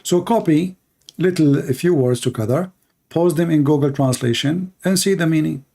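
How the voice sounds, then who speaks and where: A man whose first language is Arabic hosts a call-in debate. His voice sits a little high but sounds warm and mellow. He talks in animated, non-native English and keeps pressing the caller. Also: moderate pace, creaky. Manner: animated storytelling, pushy questions, non-native grammar. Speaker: debate host.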